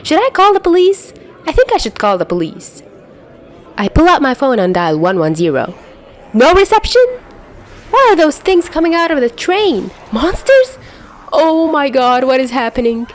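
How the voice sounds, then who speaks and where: A woman's voice: high voice, big pitch swings, normal speed, voice pushed up. A woman voicing a panicky young commuter caught in a disaster, in an incredulous first-person monologue from a fan fiction story. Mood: bewildered, alarmed. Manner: incredulous, exclamatory inner monologue.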